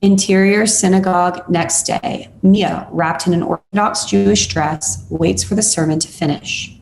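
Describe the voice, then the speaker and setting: A woman narrates a screenplay at a table read. Her voice sits on the low side with a gravelly fry, and there is clear noise behind her. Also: steady tone, unhurried, a little mellow, poor audio. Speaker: narrator reading stage directions.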